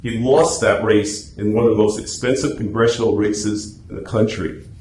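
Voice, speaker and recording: Low-voiced man presenting in an echoey room. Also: steady tone, normal speed, a little mellow. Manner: chatty, anecdotal. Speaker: club presenter and radio script writer. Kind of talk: informal presentation to a club meeting. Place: meeting room, speaking to a small audience. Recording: echoey room with faint noise.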